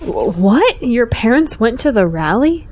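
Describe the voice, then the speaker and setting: A woman's voice: on the high side, sing-song, unhurried, background noise. A young woman playing a principled, outspoken schoolteacher in an audio drama, earnest and pointed. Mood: alarmed. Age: young adult.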